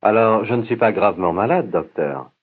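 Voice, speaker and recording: A man playing a polite patient with flu in a scripted language-course dialogue, speaking slowly and clearly, each line said twice. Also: on the low side, expressive. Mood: tired. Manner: slow, careful, clear diction. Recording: tinny, narrow-band old course recording.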